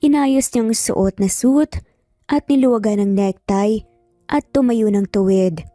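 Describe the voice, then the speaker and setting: A woman's voice: mid-range voice, measured pace, warm tone, no room sound, studio quality, soft background music. A woman with a Filipino accent narrating a scripted dramatic story.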